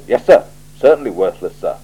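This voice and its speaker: A man voicing a blunt, wry male watch commander in an audiobook, giving clipped, deadpan, emphatic replies. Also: sits low, a little fast, slightly rough, full character voice, rough recording. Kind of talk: audiobook character voice.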